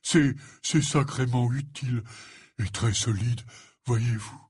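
A man voicing a tipsy, sentimental giant gamekeeper, halting and repeating his words in a gruff, slurred way. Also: medium pitch, a little mellow, dry recording. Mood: offhand.

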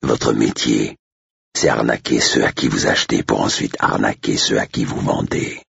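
A stern, threatening man, acted by a male narrator in an audiobook. The voice is extremely low and full of gravel, with a slow, deliberate growl and cold determination. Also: a bit bright, dry recording, lo-fi sound, heavily acted. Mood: growling contempt. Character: stern, menacing Englishman, slow-spoken and coldly determined.

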